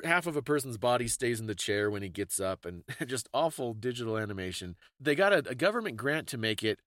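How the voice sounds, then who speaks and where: A man's voice: medium pitch, a bit bright, no room sound, studio quality. A man hosting a podcast, explaining things in a casual, thoughtful conversational way. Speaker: podcast host.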